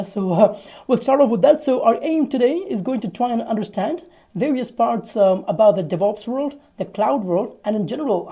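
A man hosting a casual interview, asking friendly questions in a halting way with many fillers, filmed outdoors on a rooftop terrace on a phone held at arm's length. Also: high-pitched, dark tone, breathy edge. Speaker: interview host.

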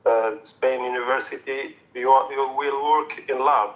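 A man's voice: medium pitch, steady tone, normal speed, a bit bright, low-quality recording. Man giving emphatic advice on a call. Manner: advising, emphatic, repeats phrases. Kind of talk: online panel talk.

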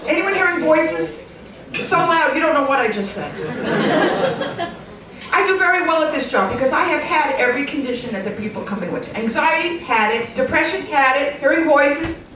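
A woman comic in her forties works a club stage with a handheld mic, her high voice swooping up and down as she delivers wry, deadpan punchlines. The sound is roomy and picked up from well back in the club, with audience laughter and room noise around her. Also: normal speed, recorded from a distance. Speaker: stand-up comedian. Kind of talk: stand-up comedy set.

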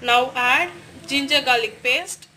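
Slow, high-voiced woman cooking. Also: pushed and bright, a little hiss or hum. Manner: slow, step-by-step instructions. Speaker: cooking channel host. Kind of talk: recipe voice-over.

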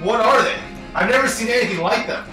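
Guy as a earnest young cartoon hero, sharp high voice, sounds far from the mic. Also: fast. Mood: stunned. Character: young male superhero sidekick, stunned but resolute. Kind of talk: animated action drama acting. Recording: distant echoey voice over music.

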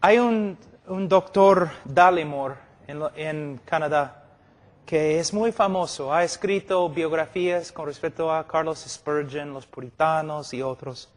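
A man's voice: on the high side, measured pace. A middle-aged man preaching a sermon at a conference, emphatic and repeating phrases for stress, telling illustrative stories. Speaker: preacher teaching at a church conference. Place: large indoor meeting hall, speaking to a seated audience. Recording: echoey hall with long reverb.

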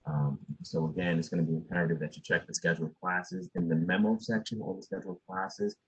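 Deep-voiced husky man on a video call. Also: lively intonation, quick pace. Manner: advising, with many fillers and restarts. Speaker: dean. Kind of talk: answering student questions at a virtual town hall. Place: home office. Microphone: video call with earbuds. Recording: dull, muffled video call.

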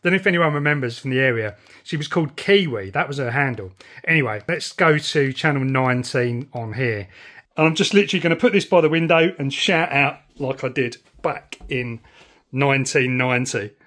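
A man's voice: mid-range voice, animated, brisk, a bit bright. A middle-aged man with a southern English accent chatting informally in a casual hobby vlog. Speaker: radio hobbyist vlogger. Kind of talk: casual vlog chat and radio calls. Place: house and back garden.

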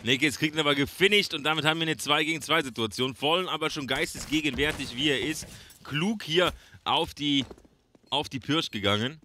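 A man's voice: on the high side, brisk, sharp tone, a little hiss or hum. A man casting a game alone as play-by-play commentator, chatty and addressing viewers as friends. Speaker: esports caster. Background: game gunfire and clicks.

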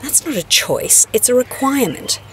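A woman's voice: a little high, very animated, moderate pace, fairly bright tone, slight background noise. An actress playing a frank, wry grandmother in an audio drama, plain-spoken. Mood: frank, resigned.

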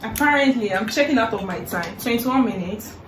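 High-voiced young Nigerian woman, off mic. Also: animated, fairly quick. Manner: playful, giggly, dramatic. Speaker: YouTuber guest. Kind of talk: casual bantering chat. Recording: echoey room, distant mic, background noise.

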